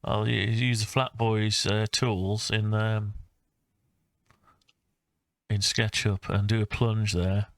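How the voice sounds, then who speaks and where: A man talking through his own design project informally, thinking aloud with hesitant, halting phrases. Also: fairly low pitch, little pitch movement, dry recording, studio quality. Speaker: hobbyist maker presenting own design project. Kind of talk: informal screen-recorded CAD design walkthrough.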